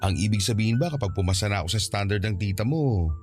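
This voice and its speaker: Man acting a mellow-voiced young Filipino suitor. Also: lowish voice, expressive, quick pace, soft background music, lightly acted. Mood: confident, teasing. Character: confident, polite young suitor eager to please. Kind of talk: Tagalog radio drama acting.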